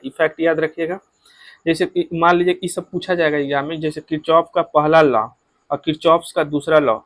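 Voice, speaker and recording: Male exam coach, Bihari accent, slightly high voice, dry close sound, instructive and repetitive delivery. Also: no echo. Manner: repetitive, instructive, code-mixing English technical terms. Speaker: exam coaching physics teacher. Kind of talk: explanatory exam-prep lecture.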